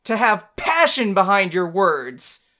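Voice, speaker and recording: A woman voicing a brash, fiery fish-warrior woman who bosses and encourages loudly in a visual novel game. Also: big pitch swings, slow, exaggerated voice. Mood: bossy, encouraging. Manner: forceful, booming encouragement. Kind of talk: amateur visual novel voice acting.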